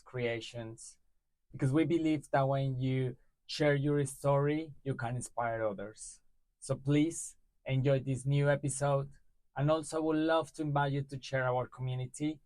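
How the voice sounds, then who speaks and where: A man hosting a podcast interview, friendly and halting, restarting his questions. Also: mid-range voice, fairly even intonation, a little mellow, no echo. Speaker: podcast host. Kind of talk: casual interview.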